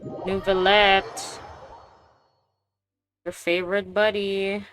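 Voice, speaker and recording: A woman's voice: mid-range voice, flat delivery, very slow, a bit bright, no room sound, soft background music. A woman giving casual, chatty, slangy commentary as she plays a video game and reacts aloud. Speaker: game streamer.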